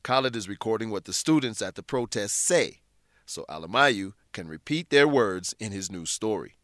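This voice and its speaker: A man narrating a scripted English lesson, explaining clearly and deliberately as a teacher. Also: on the low side, expressive, a bit bright, no room sound, studio quality.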